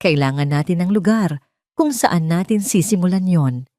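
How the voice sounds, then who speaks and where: A woman voicing a calm, devoted male lover with a gentle, assured manner in an audio story. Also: on the low side, moderate pace, no echo, studio quality, lightly acted.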